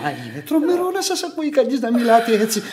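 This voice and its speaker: An actor playing a fussy old widowed manservant in radio theatre, exclaiming and coaxing in a high, thin voice. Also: male voice, wildly swooping pitch, noisy background, exaggerated voice. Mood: coaxing, exasperated. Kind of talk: Greek radio theatre acting.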